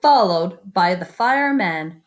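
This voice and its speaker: A woman reads a children's picture book aloud at an unhurried pace. Her pitch moves around in a lively, expressive way, and she speaks directly to listeners as a storyteller would, mixing narration with conversational comments. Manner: expressive, pauses to comment and invite predictions.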